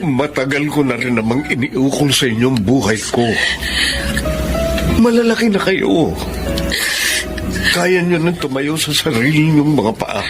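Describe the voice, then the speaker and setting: A man's voice: fairly high pitch, expressive, measured pace, long pauses, over music, rough recording. A man playing a cold, selfish middle-aged father with a firm manner in a Filipino radio drama. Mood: cold, parting.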